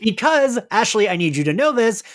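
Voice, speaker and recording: Male podcast co-host, casual and talkative, excitable, with very lively intonation. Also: sits high, brisk. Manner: chatty, filler-heavy, excitable tangents. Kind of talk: casual recap chat about an audio drama.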